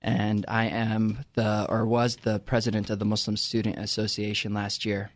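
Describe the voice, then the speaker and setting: A man in his twenties, a student guest, answering an interview in a relaxed, slightly rambling way. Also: on the low side, normal speed, no room sound. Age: 20s.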